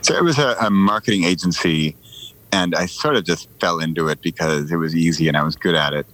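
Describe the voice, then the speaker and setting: Deep-voiced middle-aged man. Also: brisk, fairly bright tone, poor audio. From United States. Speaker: podcast guest, writer. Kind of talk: casual conversational storytelling.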